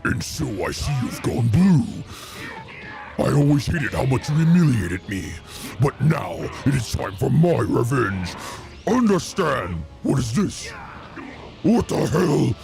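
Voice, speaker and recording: Man putting on a gravelly, gloating dragon villain. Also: mid-range voice, very animated, takes it slowly, a little mellow, heavily acted. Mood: vengeful. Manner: theatrical, taunting, exclamatory. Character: gloating, vengeful male dragon villain, final boss. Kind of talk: improvised video game villain role-play. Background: game music.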